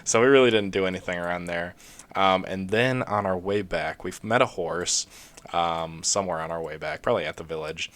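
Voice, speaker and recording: A young man with a very low voice talks casually through a let's play. His throat is scratchy and he coughs now and then. He rambles and restarts, with lots of fillers. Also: fairly quick, a bit bright. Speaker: gaming video creator.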